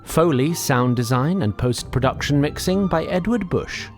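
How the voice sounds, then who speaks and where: A male narrator reads a scripted story with very lively intonation. His delivery is measured and dryly wry. Music plays softly behind him. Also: fairly high pitch.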